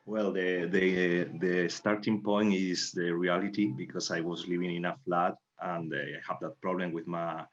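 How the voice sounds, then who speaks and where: Very low, muffled Spanish-accented man. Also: flat delivery, a little slow, few pauses. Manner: non-fluent English, careful phrasing. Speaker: playwright. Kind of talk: recounting in interview. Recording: dull, muffled audio.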